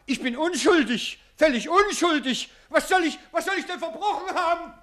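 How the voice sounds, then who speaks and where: A man's voice: very high voice, moderate pace, a bit bright. An actor playing a flustered, whining crocodile showman in a German radio play, stammering in indignant protest. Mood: panicked, indignant.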